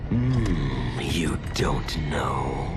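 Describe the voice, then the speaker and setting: An actor playing a crazed, scheming video game villain who gloats theatrically and grandly about chaos. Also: male voice, very deep voice, sing-song, extremely slow, loud music behind the voice, full character voice. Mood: smug, scheming. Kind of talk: cinematic video game acting.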